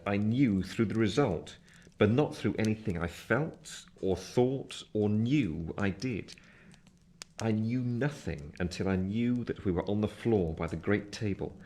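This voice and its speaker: An actor playing a grave gentleman narrator recounting his past in a radio play, with solemn, literary phrasing. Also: lowish voice, a little hiss or hum, close to an everyday voice. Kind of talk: dramatic scripted narration.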